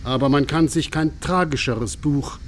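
A man reading a scripted radio documentary narration in a solemn, measured manner. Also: a little high, background noise, natural voice.

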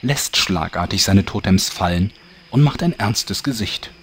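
A man's voice: sits low, animated, a little slow, a little hiss or hum. A man narrating a comedy audio drama in a scripted storytelling style.

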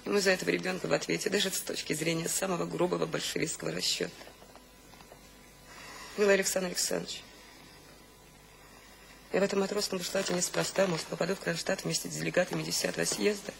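Very creaky-voiced earnest revolutionary woman. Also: lowish voice, fairly even intonation, fast talker, long pauses, low-quality recording. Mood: earnest, wistful. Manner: confiding, earnest. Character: tender, earnest revolutionary woman in sailor's jacket. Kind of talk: theatrical radio drama acting. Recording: faint background noise. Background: faint ambient noise.

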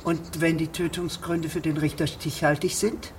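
An actress playing a haughty, officious female magistrate, pompous and quick to take offence in a radio drama. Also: sits low, little pitch movement, a little hiss or hum, close to an everyday voice. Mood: indignant. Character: imperious, indignant female magistrate eager for an execution.

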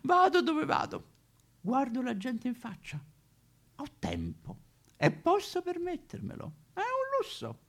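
An actor playing a boozy, cheeky, lonely homeless tramp of fallen nobility, in a rambling, foul-mouthed, singsong stage monologue with a Roman accent. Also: male voice, very high-pitched, wildly swooping pitch, measured pace, creaky, a bit bright, exaggerated voice. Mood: boastful, wistful. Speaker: stage actor in a solo show. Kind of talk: theatrical comic monologue addressed to listeners.

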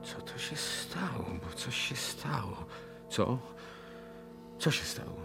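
An actor playing a distraught, bewildered gentleman in a radio drama, declaiming and questioning theatrically. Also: mid-range voice, very animated, slow, vocal fry, exaggerated voice. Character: distraught master fashion designer lost in revolution. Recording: 1980 radio play with music behind.

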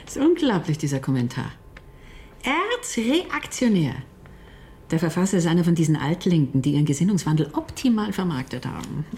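Sardonic, animated, low-pitched woman. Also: normal speed, long pauses, a little hiss or hum. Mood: scornful. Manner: sardonic, chatty, emphatic. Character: opinionated, sardonic female museum colleague, politically minded. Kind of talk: naturalistic radio drama dialogue.

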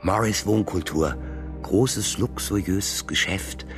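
An actor in a German radio play voicing an embittered, obsessed hobby builder who mutters resentfully and with determination. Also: male voice, deep voice, takes it slowly, music in the background. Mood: brooding, resentful. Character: embittered, obsessed model-town builder, a grown married man.

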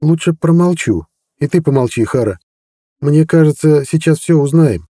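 A man's voice: on the high side, lively intonation, moderate pace, mellow, dry recording, clean studio sound, pitched above the performer's own voice. A man reading an audiobook voicing a cautious, curious male companion.

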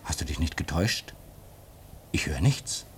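An actor playing a bitter, sardonic newcomer at a polar station in a German radio drama, clipped and sarcastic. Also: male voice, extremely low pitch, big pitch swings, moderate pace, slight background noise. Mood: sceptical. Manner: clipped, sarcastic, sharply inflected.

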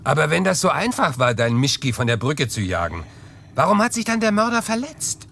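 An actor playing an easygoing, teasing friend of a detective, with a familiar bantering manner, in a crime radio drama. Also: male voice, on the high side, sing-song, fairly quick, gravelly, a bit bright, heavily acted. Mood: bantering, curious. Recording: noisy background ambience.

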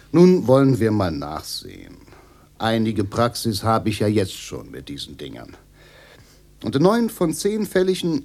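A man's voice: mid-range voice, a little mellow. A man playing an eager, polite salesman in a radio drama, persuasive and placating. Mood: flustered, placating. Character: smooth-talking, eager male machine salesman, placating.

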